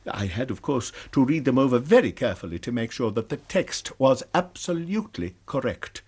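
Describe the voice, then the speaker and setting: Radio drama: anxious, formal British male academic, precise and earnest, lively pitch, slightly rough voice. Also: normal speed. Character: anxious, proper college lecturer reporting a crime.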